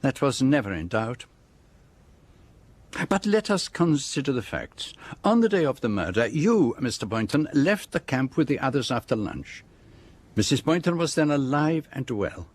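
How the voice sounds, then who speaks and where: An actor playing a self-assured detective in a radio drama, speaking in a deliberate, formal and precise way as he lays out a case. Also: mid-range voice, very animated. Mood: probing, precise. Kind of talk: radio drama acting, monologue.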